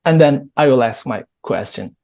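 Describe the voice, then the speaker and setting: A male host asking interview questions, choosing his words deliberately, with hesitant starts and small slips. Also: medium pitch, animated, vocal fry, a little mellow. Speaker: podcast host.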